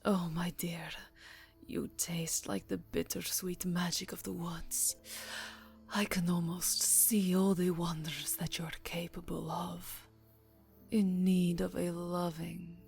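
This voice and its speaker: Slow, whispering vampire countess acted by a woman. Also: on the low side, level tone. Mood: intoxicated, adoring. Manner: slow, breathy, intimate, coaxing. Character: seductive, predatory yet tender vampire countess. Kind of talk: whispery ASMR roleplay. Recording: soft music behind the voice.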